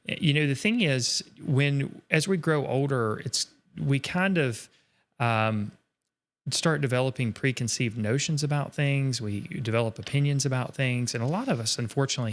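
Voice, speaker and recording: Earnest encouraging male art instructor. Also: medium pitch. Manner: earnest, encouraging, speaks in long reflective runs. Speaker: art instructor co-hosting a live stream. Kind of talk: live-stream chat, reading viewer comments.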